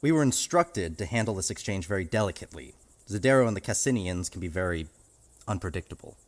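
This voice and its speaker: An actor playing a callous federal agent who speaks coldly and dismissively. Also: male voice, low voice, fast talker. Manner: cold, flat, dismissive.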